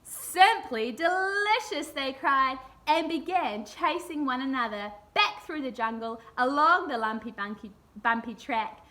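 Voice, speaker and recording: Lady reading a kids picture book, high bright voice, expressive. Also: unhurried, hardly any pauses. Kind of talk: expressive children's story reading.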